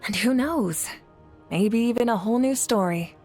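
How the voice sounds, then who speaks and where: Brief: a composed game ally, relaxed and reassuring. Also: female voice, on the high side, normal speed, faint music behind. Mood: calm. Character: calm, capable woman who helps the heroes. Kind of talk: video game voice acting.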